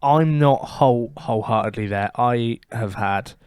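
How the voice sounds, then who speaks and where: British male podcast co-host, casual opinionated conversation, mid-pitched voice, dry close recording. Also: unhurried, darkish tone, clear, resonant tone, dry recording. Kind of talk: casual chat about opinions.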